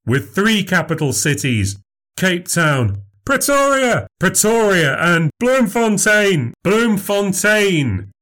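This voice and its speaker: A man voices an eager memory showman in this spoken story, pitched up from the reader's own voice. He is formal and overly polite, putting on a show for the crowd. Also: big pitch swings, slow pace, fairly bright tone, heavily acted. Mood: showy, polite. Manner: showy, overly polite, says "sir" and "madam".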